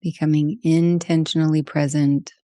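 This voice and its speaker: Female meditation guide, soft-edged mellow tone, gentle spaced phrasing, close dry sound. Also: deep voice, level tone, no echo. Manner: slow, gentle pauses between short phrases.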